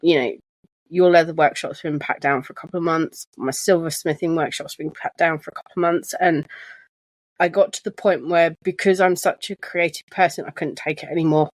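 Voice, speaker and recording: A soft-voiced woman chats casually as a podcast co-host, her voice sitting a little low. She rambles with restarts. The recording is close and dry, made on a home sofa. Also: fairly even intonation, brisk, no room sound. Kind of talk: casual chat. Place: living room sofa at home. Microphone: shared desk microphone.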